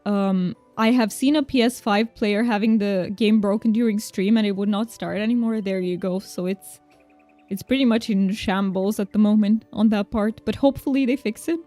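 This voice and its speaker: A woman's voice: mid-range voice, normal speed, warm tone, dry recording, soft background music. A young woman whose first language is Romanian, chatting casually and reassuringly to viewers while playing a game, laughing often. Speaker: streamer. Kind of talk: casual game stream chat.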